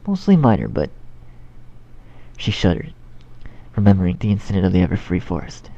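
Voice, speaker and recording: A man reads a story aloud as narrator, in a lowish voice with plenty of creak. The tone is mellow, and the home recording is a little dull with faint noise. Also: huge pitch swings, brisk. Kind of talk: scripted storybook narration of fan fiction.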